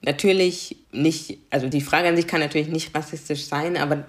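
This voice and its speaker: A woman's voice: sits low, steady tone, fairly quick. Earnest woman explaining, tagging "ne?". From Germany, Karlsruhe. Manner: earnest, explanatory, frequent "ne?" tags. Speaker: diversity coach, guest. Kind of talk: conversational interview.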